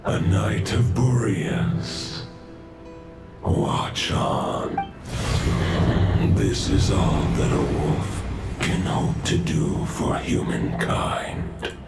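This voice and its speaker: An actor voicing an ancient, solemn wolf spirit in a video game, in breathy, growling, weighty short phrases. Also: male voice, deep voice, animated, drawn-out words, long pauses, exaggerated voice. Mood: solemn, resigned. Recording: echoing voice under loud music.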